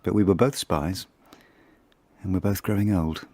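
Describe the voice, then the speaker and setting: An actor playing an ageing spy in a radio drama monologue, reflective and confessional, with measured, intimate, literary delivery. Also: male voice, low-pitched, steady tone, a little mellow, no room sound. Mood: wistful, reflective. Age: older.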